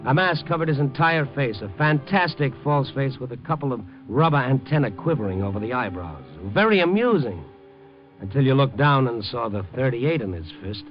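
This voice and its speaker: A man's voice: medium pitch, expressive, moderate pace, close to an everyday voice. Hard-boiled American newspaperman played by a man, wry and colloquial, muffled vintage radio with faint music behind. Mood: wry, brooding. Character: world-weary wisecracking male newspaper night reporter. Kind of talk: 1950s radio noir first-person narration. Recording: dull old radio recording, music behind.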